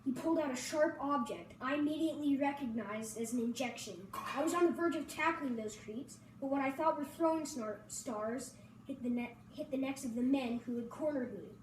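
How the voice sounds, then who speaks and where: Female narrator for a first-person story read, high-pitched, recorded in an echoing room with faint noise. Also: normal speed. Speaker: reader at a table read. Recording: roomy echo, distant mic, faint noise.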